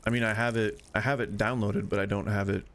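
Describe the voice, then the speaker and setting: A man's voice: on the low side, level tone, fast talker, fairly bright tone. A man in his forties chatting casually and rambling while playing a game, joking about getting old. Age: 40s. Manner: rambling, self-deprecating, chatty. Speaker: gaming streamer. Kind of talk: casual gameplay chat.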